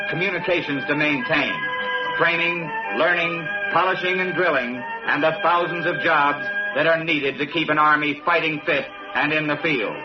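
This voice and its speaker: A man delivering scripted mid-century documentary narration with solemn, dramatic phrasing. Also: a little high. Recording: muffled old broadcast recording, music behind.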